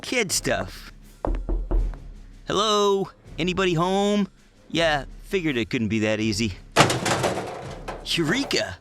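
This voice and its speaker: A man's voice: a little high, expressive, slow, pauses often, music in the background. A man voicing a plucky, nervous teenage boy in a motion comic, slangy, exclamatory and prone to stammering. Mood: puzzled, muttering. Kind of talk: animated character acting for a motion comic.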